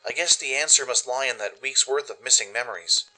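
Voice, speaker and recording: A man giving expressive, dramatic first-person narration for a radio play. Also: mid-range voice, fairly quick, low-quality recording. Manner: expressive storytelling. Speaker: narrator and producer.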